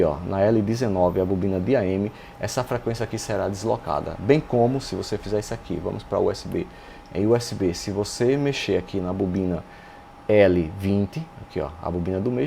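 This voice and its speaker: A man's voice: low-pitched, darkish tone, a little hiss or hum. A man with a Brazilian Portuguese accent giving an informal technical tutorial in a didactic way, often asking rhetorical questions and checking in with the listener. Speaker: radio repair instructor and channel host. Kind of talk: informal technical tutorial, explaining while demonstrating.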